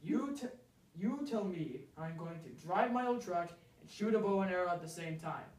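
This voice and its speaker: A wry country man played by a storyteller, deliberate and plainspoken, a little gruff in temper. The storybook figure is heard in a roomy space, some way from the microphone. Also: mid-range voice, animated, darkish tone, far from the mic, lightly acted. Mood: indignant. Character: Pinto Jack, gruff, wry rancher-raiding pipe smoker, man.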